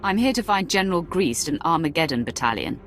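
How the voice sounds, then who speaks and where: An imperious dark-side lord in a space-fantasy game. Her voice is on the low side for a woman, cool and sardonic, every phrase delivered as command. Faint music runs behind her. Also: sing-song, brisk. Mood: dry, imperious. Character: commanding, dry, imperious female Sith lord. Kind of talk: video game voice acting.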